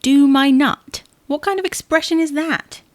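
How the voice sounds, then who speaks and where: A woman's voice: high voice, measured pace, no room sound. A woman playing a fussy, exasperated wife who bickers insistently in a domestic audio sitcom. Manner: bickering, insistent, theatrically indignant. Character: fussy, exasperated wife fretting over wedding clothes.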